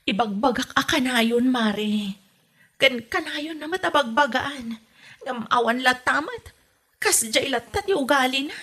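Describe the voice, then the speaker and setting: A woman's voice: high-pitched, lively intonation, measured pace, a bit bright, slightly rough, exaggerated voice. A woman playing an exasperated young wife in a comedy drama, complaining melodramatically with a northern Philippine accent. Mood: weary, aggrieved. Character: exasperated young wife fed up with her meddling mother-in-law. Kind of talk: comedy radio drama acting.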